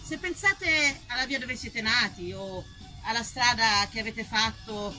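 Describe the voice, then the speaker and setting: A woman actress, performing an ironic, lively storytelling monologue and talking directly to her audience. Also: on the high side, unhurried, soft background music, low-quality recording.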